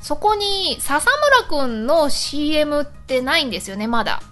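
A woman's voice: sits high, big pitch swings, moderate pace, a bit bright, faint music behind. A woman co-hosting an internet radio show in casual chat, with teasing, polite but informal banter.

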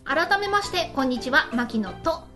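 A woman's voice: high voice, lively intonation, normal speed, bright, forceful tone. A woman hosting a radio show, switching between casual teasing chat and step-by-step cooking narration. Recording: background music under the voice. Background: background music.

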